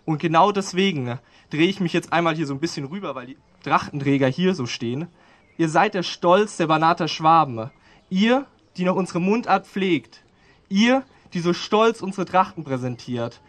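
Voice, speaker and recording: A young man delivers a commemorative speech. His voice is on the high side. He speaks proudly, building his phrases with rhetorical repetition. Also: lively intonation, normal speed, fairly bright tone. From Germany. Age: young adult. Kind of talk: public speech.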